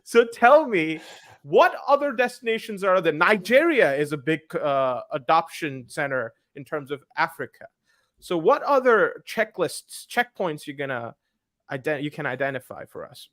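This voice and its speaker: A man's voice: a little high, sing-song, normal speed, fairly bright tone, no echo. A man hosting an interview, asking pointed and teasing questions. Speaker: interviewer host.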